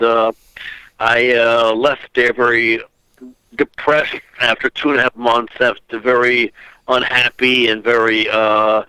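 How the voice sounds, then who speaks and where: A man's voice: mid-range voice, bright, forceful tone, smooth, no echo, poor audio. An older man from Austria, a guest author on an internet call, talking in a rambling way with many fillers and restarts. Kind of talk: phone interview. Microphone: internet call audio.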